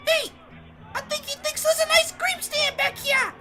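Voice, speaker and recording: A man voicing a squeaky, jeering little henchman in a comic audio drama. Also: fairly even intonation, measured pace, over loud music.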